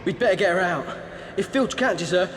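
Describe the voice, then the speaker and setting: An actor playing a cheeky, blunt teenage schoolboy sidekick in a video game, with an English accent. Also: male voice, sits high, lively intonation, moderate pace, a little hiss or hum. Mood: wry, concerned. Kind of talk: video game cutscene acting. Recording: echoey hall with soft music.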